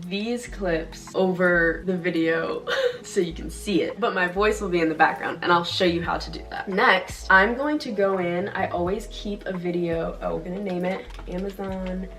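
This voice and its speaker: A woman's voice: on the low side, moderate pace, a bit bright, far from the mic. A young woman with a stuffy, congested nose, chatting casually as she walks through a video tutorial. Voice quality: nasal from a cold. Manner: chatty, upbeat, says "like" often. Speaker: YouTuber.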